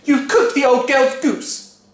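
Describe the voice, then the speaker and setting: A reader voicing a panicky middle-aged wife who shrieks and wails in a very high voice, recorded in a roomy, echoing space. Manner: shrieking, wailing, slowed. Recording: roomy echo.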